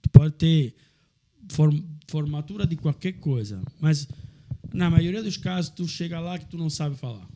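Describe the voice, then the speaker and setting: An Italian man of middle age, a restaurant owner telling his story in a casual chat, animated and laughing as he talks. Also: fairly high pitch, moderate pace. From Italy.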